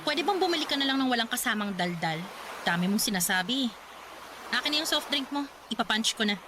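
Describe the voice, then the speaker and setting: A woman's voice: fairly high pitch, animated, a little fast, noisy background, natural voice. A woman with a Filipino accent playing a stubborn, exasperated, heartbroken young woman in a Tagalog radio drama. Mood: curt, surprised. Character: heartbroken, stubborn, sassy grown daughter in late twenties.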